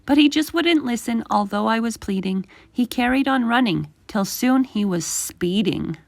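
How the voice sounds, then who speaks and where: A woman with a fairly high voice reads a children's storybook. Her delivery is animated and emphatic, following the rhyme closely. The sound is dry, with very little room in it. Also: moderate pace, creaky, no room sound. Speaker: children's story reader and channel host. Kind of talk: expressive children's storybook read-aloud.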